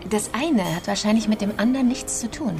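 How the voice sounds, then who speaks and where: A woman's voice: a little high, a little fast, lightly acted. Woman acting female investigator, sardonic, probing, coolly analytical, radio drama, music underneath. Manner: ironic, probing, articulate.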